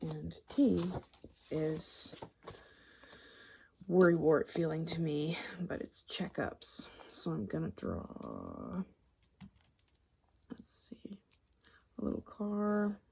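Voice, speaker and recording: A young adult woman hosting ASMR, speaking softly and breathily, often whispering, musing aloud in an informal, murmured way. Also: low voice, sing-song, very slow, vocal fry, long pauses, slightly rough. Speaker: ASMR video host. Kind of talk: ASMR, soft-spoken personality explainer while drawing.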